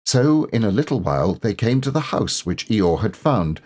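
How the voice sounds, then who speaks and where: A man reading a children's story aloud as narrator, in a measured, careful and expressive way. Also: on the low side. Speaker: audiobook narrator, voice actor.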